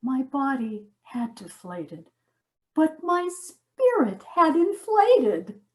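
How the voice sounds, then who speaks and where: Woman acting a high, swooping, wonder-struck yoga student. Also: very animated, a little mellow, exaggerated voice. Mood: awed, liberated. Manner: dramatic, slow with emphatic pauses. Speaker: amateur stage actor. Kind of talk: theatrical comic monologue.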